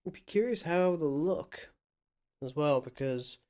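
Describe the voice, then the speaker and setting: A man's voice: fairly high pitch, expressive, a little slow, darkish tone. Musing man in casual film chat. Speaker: film podcast co-host. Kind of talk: casual movie commentary chat.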